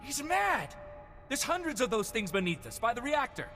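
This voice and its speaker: An anguished avenger in a reverberant hall. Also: male voice, very high-pitched, big pitch swings, moderate pace, sharp tone, full character voice. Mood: vengeful, agitated. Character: anguished vengeful male survivor, obsessed then subdued. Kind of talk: video game cutscene acting. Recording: hall reverb, music behind.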